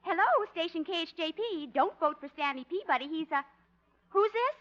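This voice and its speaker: A woman's voice: very high voice, expressive, normal speed, a bit bright. An actress playing a bubbly, adoring young switchboard operator in a 1950s radio comedy. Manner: bubbly, gushing, giggly. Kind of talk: 1950s radio sitcom acting. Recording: dull old 1950s radio broadcast.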